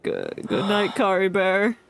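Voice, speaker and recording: A woman's voice: mid-range voice, huge pitch swings, slow pace, gravelly. A woman streaming a game, giving casual, playful and emotive live reactions to the story. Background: game music.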